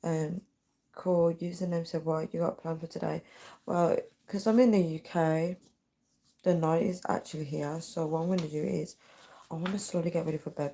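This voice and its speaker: A woman talks in a low, hushed, gravelly voice that is almost gone from hoarseness. Her tone is dark and soft. She chats earnestly and a little wearily with her live viewers, speaking quietly so she won't wake anyone. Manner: earnest, drifting, tired. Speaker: livestreamer. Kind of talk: casual live chat reading viewer comments. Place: her home at night with others asleep. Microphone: built-in device mic, no dedicated microphone.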